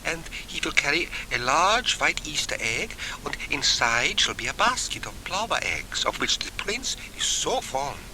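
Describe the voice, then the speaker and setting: A man's voice: fairly high pitch, voice pushed up. A man voicing a shy, eager middle-aged foreign woman with hesitant, coaxing phrasing, as an audiobook character. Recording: noisy old cassette transfer.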